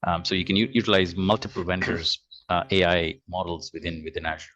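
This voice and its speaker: A man's voice: sits low, level tone, fairly quick, fairly bright tone, muffled recording. A man presenting in a webinar, answering questions hesitantly with many fillers over a video call. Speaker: guest presenter.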